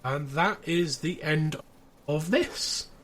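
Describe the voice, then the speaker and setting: A man's voice: on the high side, slow, fairly bright tone, slight background noise. A man in his thirties chatting casually and recapping as a podcast co-host.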